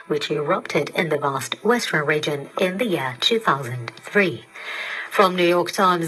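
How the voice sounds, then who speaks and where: A woman with a fairly low, distinctly husky voice reads a scripted news bulletin. She presents in a formal, measured way, as heard over a shortwave digital radio broadcast. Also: a bit bright, poor audio. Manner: formal, measured headline delivery.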